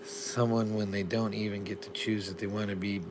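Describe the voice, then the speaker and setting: A man's voice: lowish voice, normal speed. A man co-hosting a live stream, chatting casually, joking and reading the chat, sometimes dropping to a whisper. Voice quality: animated, jokey, drops to a whisper at times. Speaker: livestream co-host. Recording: faint background noise.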